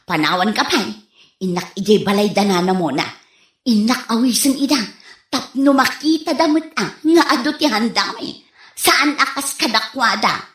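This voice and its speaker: A woman's voice: on the high side, animated, moderate pace, a bit bright. A woman playing a show-off, spendthrift wife and mother in a radio comedy drama, with an Ilocano Filipino accent. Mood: breezy, showy. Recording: echoey room, music behind.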